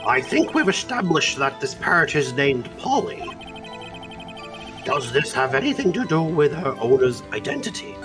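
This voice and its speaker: A man's voice: on the high side, sing-song, over music. A man voicing a mild, ponderous, puzzled older judge in an amateur video game dub. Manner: measured, bemused.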